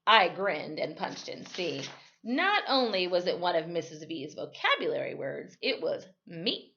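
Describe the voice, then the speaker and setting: A woman's voice: fairly low pitch, big pitch swings, a little slow, gravelly, sharp tone, muffled recording, slight background noise. A woman teacher reading a story aloud expressively, with dramatic emphasis and the odd corrected slip. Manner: reads in the first person with dramatic emphasis, correcting slips.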